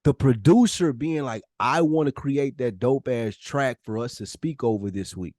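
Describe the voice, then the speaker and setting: A man's voice: mid-range voice, moderate pace, darkish tone, no room sound, clean studio sound. A man co-hosting a podcast in casual, candid conversation, speaking earnestly and emphatically with plenty of swearing. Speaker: podcast co-host.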